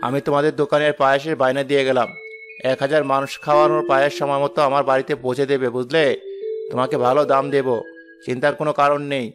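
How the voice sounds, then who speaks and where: A man voicing an important, demanding but generous minister in an animated moral story, brisk and authoritative, with music behind him. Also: mid-range voice, lightly acted. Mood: commanding.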